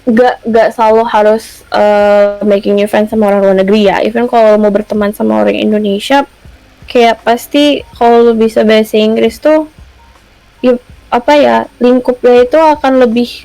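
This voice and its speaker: A woman's voice: on the high side, a little mellow, no room sound, rough recording. Young woman co-host, Jakarta accent, casual chatter with English words dropped in. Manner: chatty, mixes Indonesian slang with English words. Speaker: podcast co-host. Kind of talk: casual chat.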